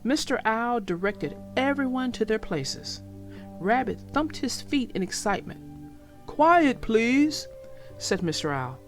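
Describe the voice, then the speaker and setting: A woman narrating a read-aloud story for young children with deliberate, emphatic phrasing. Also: on the high side, expressive, faint music behind.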